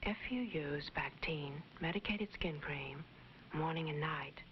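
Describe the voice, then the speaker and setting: A woman playing an earnest skin-cream demonstrator in a 1950s TV commercial, speaking softly, near a whisper at times. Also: low-pitched, measured pace. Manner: soft, breathy, near-whisper in places. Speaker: commercial presenter. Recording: dull 1950s TV ad, faint noise.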